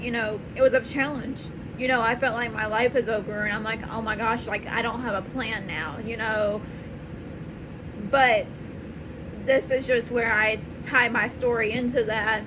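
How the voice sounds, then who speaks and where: A woman in her twenties, hoarse and raspy from swollen tonsils, talking earnestly and rambling in a casual home vlog. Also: a little high. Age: 20s. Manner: earnest, rambling, repeats herself. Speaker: vlogger. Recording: home recording with clear background noise.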